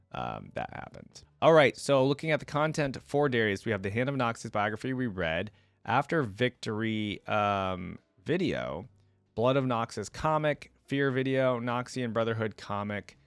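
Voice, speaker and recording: A man's voice: on the low side, no room sound. A man hosting a reaction video, reading game lore aloud and dropping in informal, casual asides. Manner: informal asides between read passages.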